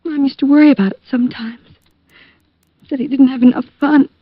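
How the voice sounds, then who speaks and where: A dry, muffled vintage radio recording of a young woman. Her high voice is fragile and earnest, that of a grieving sister in a melodrama. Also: fairly even intonation, a little fast, no echo. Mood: subdued recollection. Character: distraught young woman mourning her murdered brother. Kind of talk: 1940s-50s radio drama acting.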